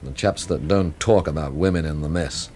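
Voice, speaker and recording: A man's voice: very deep voice, moderate pace, mellow, slight background noise. An actor playing a wry, brooding, hard-drinking man who narrates and talks with dry, literate sarcasm in a radio drama. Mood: tipsy, sardonic. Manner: dry, sardonic, literate phrasing. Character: world-weary, wry hard-drinking male storyteller, brooding and sardonic.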